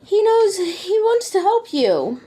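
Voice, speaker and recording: A woman's voice: very high-pitched, very animated, slow pace, warm tone, heavily acted. A woman playing an earnest young noblewoman and wife in a parody audio play, pleading melodramatically. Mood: worried, loving.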